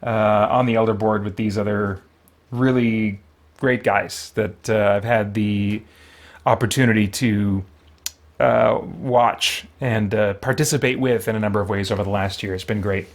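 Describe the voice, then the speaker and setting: Slow-talking man chatting on a livestream. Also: lowish voice, fairly even intonation. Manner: rambling, thoughtful, pauses mid-sentence. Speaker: livestream host. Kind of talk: casual livestream chat.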